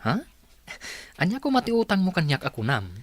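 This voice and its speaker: A man's voice: sits high, huge pitch swings, normal speed, no room sound. Easygoing young Filipino man, dry close recording, acted. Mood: warm, amused. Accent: Filipino (Ilocano).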